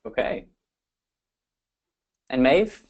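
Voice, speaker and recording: A man's voice: extremely slow, darkish tone, muffled recording. A man moderating an online webinar panel, upbeat and filler-heavy, speaking over a video call. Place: Amsterdam.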